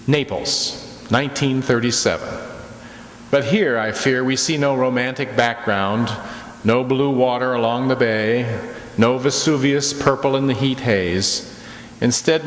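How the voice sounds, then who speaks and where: A man playing an urbane, solemn storyteller who narrates a radio mystery drama in measured, formal, theatrical phrases. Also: mid-range voice, a bit bright, lightly acted. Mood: scene-setting, ominous. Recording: echoey hall.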